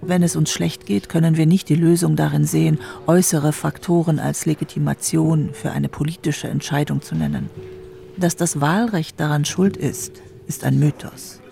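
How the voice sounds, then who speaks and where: An actor playing a critical, reflective debater, speaking in a measured, formal and analytical way. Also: male voice, fairly high pitch, steady tone, gravelly, warm tone, soft background music. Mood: critical, sober. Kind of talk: dramatized debate speech.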